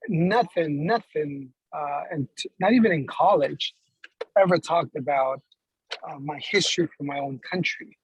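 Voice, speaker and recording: Male, from Ecuador, raspy and a little high, informal group sharing, close dry sound. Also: a little slow, no echo, muffled recording. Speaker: workshop participant.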